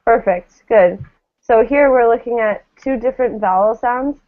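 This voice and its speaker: A woman's voice: on the high side, normal speed, narrow, tinny audio. A woman teaching English pronunciation in a live online lesson, encouraging and carefully enunciating model words over thin call audio. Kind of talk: live online lesson, drilling word pairs.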